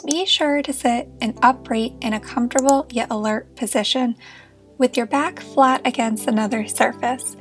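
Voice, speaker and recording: A woman leading a guided meditation as a therapist, speaking in a gentle, reassuring and encouraging manner. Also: a little high, fairly even intonation, normal speed, faint music behind.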